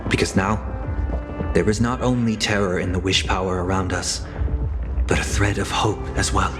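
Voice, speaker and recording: A man's voice: low-pitched, fairly even intonation, moderate pace, over music, natural voice. An actor playing a courteous, solemn man in a video game, formal and grave. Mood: grateful, solemn.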